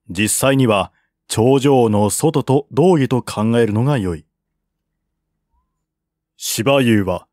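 A man's voice: mid-range voice, a little mellow, no room sound, studio quality. A man narrating a historical novel in a formal, scripted audiobook style.

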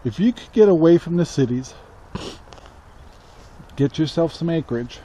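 Mellow older American man, casual and halting. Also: fairly high pitch, animated, breathy edge, rough recording. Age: 60s. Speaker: country living vlogger. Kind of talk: casual talk to camera. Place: outdoors on a rural yard beside a metal barn. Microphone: camera at arm's length. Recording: faint outdoor ambient noise.

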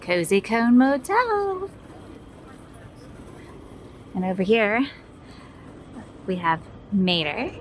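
A woman's voice: medium pitch, very animated, drawn-out words, pauses often, slight background noise. A woman in her thirties, a mom vlogging casually as she tours a resort and points things out, filming herself at arm's length. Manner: chatty, upbeat, tour-guide pointing things out. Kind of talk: casual vlog narration. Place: outdoors at a resort and indoor arcade. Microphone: phone or camera held at arm's length.

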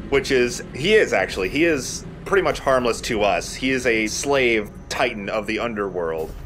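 A man's voice: mid-range voice, a bit bright. A man giving casual game commentary as a let's play commentator. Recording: game noise behind voice. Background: game ambience.

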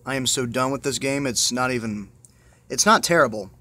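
A man's voice: mid-range voice, moderate pace, pushed and bright. A man giving casual, muttered let's-play commentary with exasperation and plenty of swearing. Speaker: gamer playing a horror game. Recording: noisy amateur phone screen recording.

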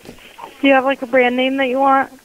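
Over a dull phone line with faint noise, a woman speaks in a high voice. She is a shop clerk answering a customer's call. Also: monotone, normal speed. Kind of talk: customer service phone talk. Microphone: telephone.

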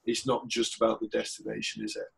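Gravelly man hosting a webinar. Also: on the low side, very animated, fast, slight background noise. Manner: chatty, loose and informal, with fillers and restarts. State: recovering from a cold. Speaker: webinar host and coach. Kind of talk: webinar interview. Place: small office with posters. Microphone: video call.

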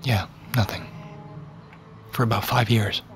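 An actor playing an awkward, guarded teenage deli worker in a naturalistic audio drama, hesitant and terse. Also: male voice, fairly low pitch, fairly even intonation, measured pace, a bit bright, soft background music. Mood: bitter, guarded. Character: awkward, guarded seventeen-year-old male deli worker.

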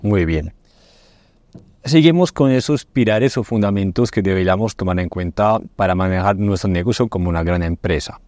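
A man's voice: low voice, normal speed, a little mellow, no room sound. A man in his thirties hosting a podcast interview, summing up points for listeners and asking questions. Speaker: podcast host. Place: indoor podcast studio.

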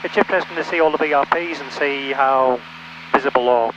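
A man's voice: medium pitch, fairly quick. A man chatting casually as a passenger in a small plane, speaking through an aviation headset. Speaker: passenger, air traffic controller. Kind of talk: casual chat. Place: small light aircraft cockpit in flight. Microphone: aviation headset boom. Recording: muffled aircraft headset audio, engine noise.

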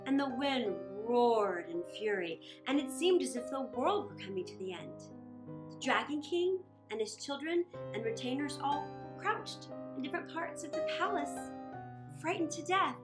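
A middle-aged woman reading a story aloud for children in a theatrical way, with deliberate phrasing and dramatic pauses. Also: on the high side. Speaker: children's storytime reader and host.

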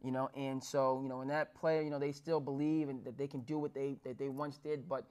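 A man's voice: medium pitch, little pitch movement, fairly quick, a little mellow, smooth. A man in his thirties from New Jersey hosting a sports talk show, using formal phrasing with frequent fillers and restarts. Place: small studio with dark backdrop.

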